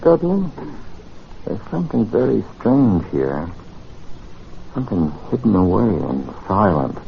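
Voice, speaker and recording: An actor playing a wary, gentle time traveller who narrates and talks in a dramatic old radio style. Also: male voice, on the low side, big pitch swings, measured pace, gravelly, a little hiss or hum, full character voice. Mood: apprehensive. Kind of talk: 1940s-50s radio acting, dramatic narration. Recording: dull old radio recording, music underneath.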